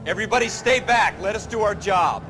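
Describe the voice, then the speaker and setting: An actor playing a brash, streetwise American patrol cop in a period crime game, talking in slang. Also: male voice, sits high, a little fast, close to an everyday voice. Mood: brisk, businesslike. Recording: music clearly behind the voice.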